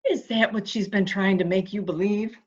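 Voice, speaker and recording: A woman's voice: mid-range voice, steady tone, moderate pace, a little mellow, natural voice. A woman playing a fretful, intense, protective member of a household in a melodramatic mystery reading, in slightly dull sound. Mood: upset, defensive. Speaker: amateur reader, library staff. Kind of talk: dramatic reading of a murder mystery.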